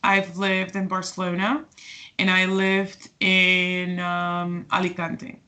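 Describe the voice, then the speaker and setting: A woman's voice: on the low side, monotone, slow, sharp tone. A woman in her twenties from Portugal, speaking casually as an interview guest, with many fillers and restarts. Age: 20s.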